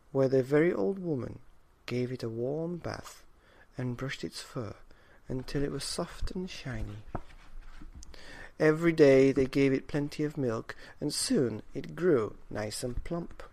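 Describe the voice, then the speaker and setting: A man narrating a bedtime story for a podcast, deliberate, with dramatic pauses. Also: medium pitch, animated, gravelly, a little mellow.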